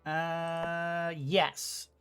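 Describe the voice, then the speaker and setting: Unhurried woman gamer thinking aloud. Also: lowish voice, little pitch movement, music in the background. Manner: thinking aloud, hesitant phrasing. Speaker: gaming streamer. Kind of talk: casual let's play commentary.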